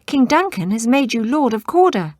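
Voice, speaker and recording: A woman's voice: a little high, sing-song, moderate pace, a little mellow, dry recording, studio quality, voice pushed up. A woman voicing a male noble messenger in a storybook, calling out royal news. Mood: glad announcing. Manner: announcing, calling out.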